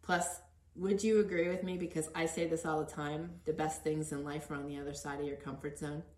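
A woman's voice: low-pitched, level tone, a little fast, darkish tone, roomy sound. A middle-aged woman hosting a casual conversational interview in an encouraging manner. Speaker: podcast host interviewing her son.

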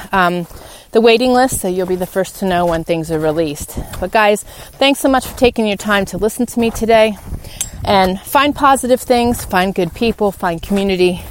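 A woman hosting a solo podcast, talking informally and earnestly as she encourages her listeners. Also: medium pitch. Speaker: podcast host. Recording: clearly noisy background with clicks.

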